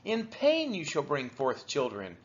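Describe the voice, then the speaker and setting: A man reads scripture aloud in a somewhat high, very animated voice. His phrasing is deliberate and broken into short units. The recording is a little dull and lo-fi. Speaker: Bible reader for a church's daily read-along.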